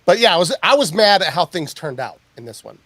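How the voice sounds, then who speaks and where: A man co-hosts a podcast, chatting casually. He rambles and hunts for his words, filling the gaps with ums and uhs, in a voice pitched somewhat high for a man. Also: big pitch swings, a little fast, a bit bright, dry recording. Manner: rambling, searches for words with many fillers. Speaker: podcast co-host. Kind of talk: casual chat.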